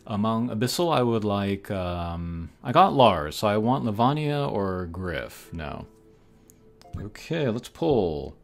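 Man, low pitch, casual gaming commentary, hesitant thinking aloud, music behind. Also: very animated, takes it slowly, vocal fry. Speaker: gaming channel host. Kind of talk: casual commentary over mobile game pulls. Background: game music.

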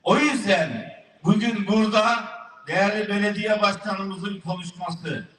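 A man preaching an emotional religious sermon to a gathering, his voice rising to fervent, high-pitched cries. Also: unhurried, a bit bright. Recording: echoey public address from far off.

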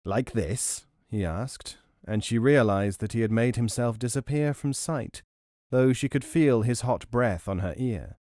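A male audiobook narrator tells a story in measured, articulate phrases. His delivery is expressive, and the close-mic recording is dry. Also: mid-range voice, dry recording.

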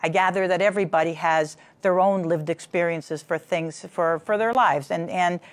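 A woman in her sixties, a city health official on a studio panel, speaking in a measured, deliberate way with frequent ums. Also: on the low side. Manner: measured, deliberate, filler-laden. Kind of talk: panel discussion. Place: studio stage with curtain backdrop.

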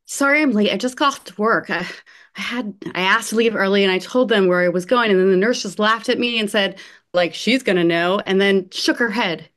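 A woman reading an earnest young female nursing home worker in an online play reading, halting and hesitant, over a video call. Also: medium pitch, a bit bright, close to an everyday voice. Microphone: video call computer mic.

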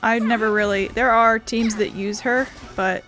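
A woman's voice: on the high side, steady tone, normal speed, fairly bright tone. A woman hosting a gaming video with casual let's play commentary, sometimes reading text aloud. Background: video game music.

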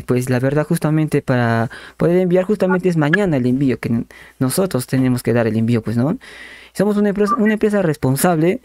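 A man's voice: on the high side, darkish tone. A young man with a Peruvian accent improvising a prank phone call in a repetitive, hedging salesman patter. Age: young adult. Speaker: prank caller and channel host. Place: small bedroom, indoors. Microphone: desk condenser microphone with pop filter. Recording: clear background noise, clicks.

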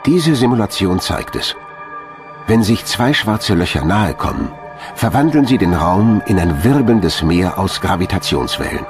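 A man's voice: sits low, animated, unhurried, over music. A man reading scripted narration as the narrator of a documentary.

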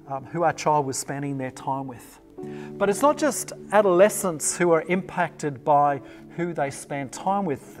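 A middle-aged man with an Australian accent, giving an online sermon in a relaxed, conversational way with plenty of ums and uhs, recorded on a lapel mic in a small indoor set. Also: on the high side. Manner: conversational, relaxed preaching with frequent fillers. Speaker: pastor.

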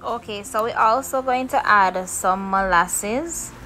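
A woman hosts a home cooking tutorial, explaining each step in a chatty, friendly way and checking in with her viewers. Her voice sits at an ordinary middle pitch. Faint music plays underneath. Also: slow pace, poor audio. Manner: chatty, repeats "okay, guys" and "right?". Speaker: cooking show host.

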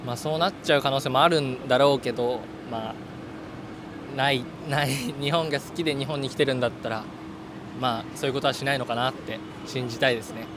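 Young man, quick, hesitant, night street. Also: medium pitch. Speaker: interviewee. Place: city street outdoors at night. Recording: street noise outdoors.

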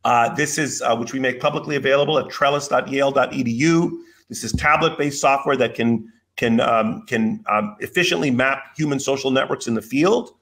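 An older man giving a keynote lecture over slides from a home office on a video call, measured and explanatory, with some fillers and restarts. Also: mid-range voice, a bit bright. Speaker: keynote speaker. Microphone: video call webcam.

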